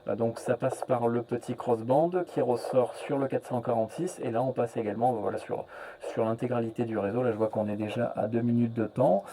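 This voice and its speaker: A man chatting casually as a radio operator, halting and often repeating his words. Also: mid-range voice, flat delivery, warm tone, rough recording. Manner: hesitant, repeats words, trailing "hein" and "voilà". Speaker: amateur radio operator, relay network host. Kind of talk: casual radio contact chat.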